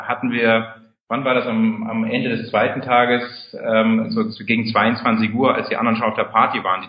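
A man with a lowish voice tells a story in a relaxed, hesitant way. The sound is echoey and roomy, and dull like a low-fi recording. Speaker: event organizer. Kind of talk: interview answers, telling an anecdote.

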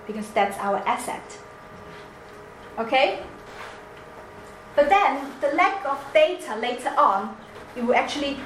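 A woman with a Chinese accent giving a seminar presentation, halting with many restarts and fillers. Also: a little high, fairly bright tone. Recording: echoey room, faint background noise.

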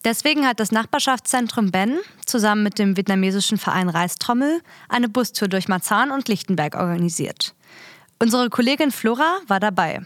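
A woman's voice: medium pitch, animated, fairly quick, no room sound, studio quality. A twenty-year-old woman from Berlin presenting a scripted radio magazine as host. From Berlin, Germany.

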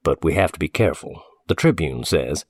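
A man's voice: extremely low pitch, lively intonation, a little fast, dry recording, clean studio sound. A man voicing a cautious small-town man with blunt, rustic, colloquial speech in a story. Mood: wary, curious.

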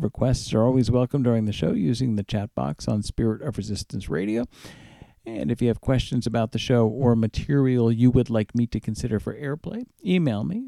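Man, radio DJ, conversational and unscripted, creaky voice, mellow tone, dry recording. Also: medium pitch, no room sound. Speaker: radio DJ and show host. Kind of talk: radio back-announcing and listener information.

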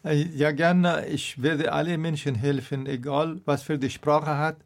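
A man's voice: a little high, fairly even intonation, measured pace, gravelly. A middle-aged man with a Persian accent, a doctor answering radio interview questions hesitantly, searching for his words. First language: Persian (Dari).

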